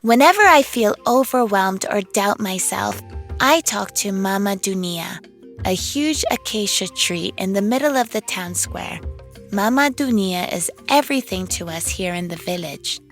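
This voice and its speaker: A woman's voice: mid-range voice, expressive, a little slow, fairly bright tone. A woman voicing an upbeat, confident young girl inventor, telling a children's read-along cartoon story in an enthusiastic, encouraging way. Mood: cheerful, proud. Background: music under the voice.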